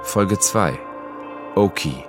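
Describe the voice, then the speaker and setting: A man's voice: deep voice, animated, extremely slow, heavily acted. A man acting as announcer, reading scripted titles for a radio drama series. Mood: formal. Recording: loud music under announcement.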